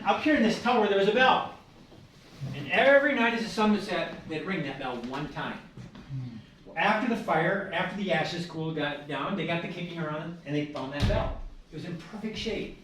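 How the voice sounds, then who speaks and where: Fast-talking folksy man guiding a tour. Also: high-pitched, fairly bright tone. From Michigan, USA. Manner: folksy, joking storyteller. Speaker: tour guide and village director. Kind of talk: guided heritage tour talk. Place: indoor historic building on a tour. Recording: roomy echo, far from the mic, noise behind.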